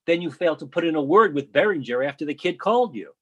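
Male, slightly high, husky actor as blunt academic colleague, probing and accusatory; readers theatre. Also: animated, quick pace, dull audio. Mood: accusing. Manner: challenging, colloquial, probing. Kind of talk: readers theatre play reading.

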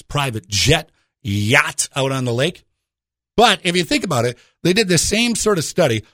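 Man, radio host, lively pitch swings, conversational, jokey, direct address, rhetorical questions, dry studio sound. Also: slightly rough, no room sound.

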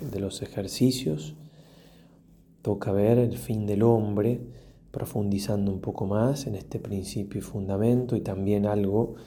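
A low-voiced man leads a guided prayer as a priest. His delivery is very slow and solemn, leaving long pauses and letting phrases trail off softly. The timbre is dark and mellow. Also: a little hiss or hum. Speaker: priest leading a guided meditation.

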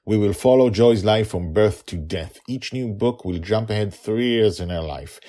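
A man's voice: fairly low pitch, a little mellow. A middle-aged man hosting his own story podcast, chatting casually and self-mockingly through the outro and credits. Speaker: podcast author and narrator.

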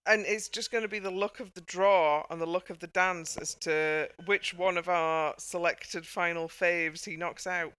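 A woman's voice: on the low side, steady tone, measured pace, few pauses, fairly bright tone, smooth, no echo. A British woman hosting a casual panel chat with a wry, dry sense of humour. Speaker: podcast host.

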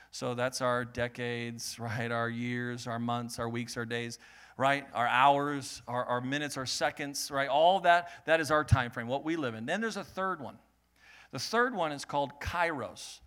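A man preaching a sermon in a lively, joking way, swelling loud, then dropping to quiet teaching. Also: mid-range voice, unhurried, smooth. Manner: animated, jokey preaching with sudden loud swells and quiet stretches. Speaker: pastor.

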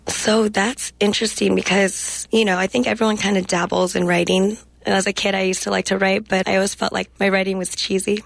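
A young woman guest speaking reflectively in a radio interview and reading her poetry in a measured way. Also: mid-range voice, level tone, gravelly, no echo, low-quality recording. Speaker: guest poet.

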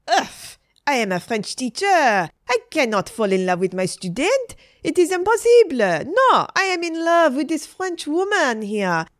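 A woman's voice: high voice, very animated, unhurried, hardly any pauses, no echo, clean studio sound, pitched above the performer's own voice. A woman voicing a melodramatic French male teacher in a story, lovestruck, pleading and theatrical. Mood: gushing, pleading.

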